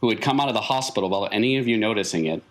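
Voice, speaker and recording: A man's voice: lowish voice, very fast. A man narrating a tabletop role-playing game as the game master.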